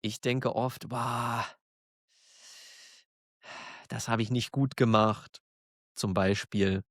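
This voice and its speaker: Slow, encouraging German man teaching. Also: medium pitch, fairly even intonation, dry recording, studio quality. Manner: encouraging, self-deprecating, laughs often. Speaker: German teacher, podcast host. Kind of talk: slow, clear monologue for learners.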